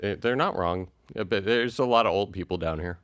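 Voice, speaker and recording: Man, podcast host, rambling, lots of fillers, smooth, dry studio sound. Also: low-pitched, fairly quick, a bit bright, dry recording. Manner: chatty, rambling with many fillers. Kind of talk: casual podcast interview.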